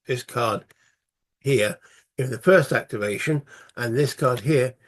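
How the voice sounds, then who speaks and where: A man, croaky from a cold, casually talking through a solo board game and thinking aloud with many fillers. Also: mid-range voice, a little slow. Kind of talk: casual tabletop playthrough commentary.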